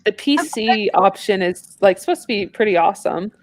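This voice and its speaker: A woman with a mid-pitched voice talks casually on a games podcast. The recording is dry, with little room sound. Her delivery is informal and jokey. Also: expressive, normal speed, no echo. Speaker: podcast panelist. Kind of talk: casual chat about video games.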